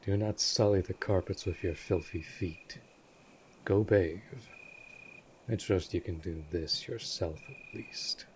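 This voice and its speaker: A man's voice: low-pitched, takes it slowly, slight background noise. A man voicing a cold, imperious centuries-old vampire lord in an audio roleplay drama, speaking formally and with disdain. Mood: disdainful.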